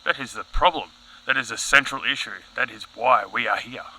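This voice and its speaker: A man's voice: medium pitch, fairly quick, sharp tone, slightly rough, poor audio. Man playing a tense, exasperated professor. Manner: emphatic, precise, exasperated. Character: exasperated male professor, rational and pedantic expert. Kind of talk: satirical news audio comedy acting.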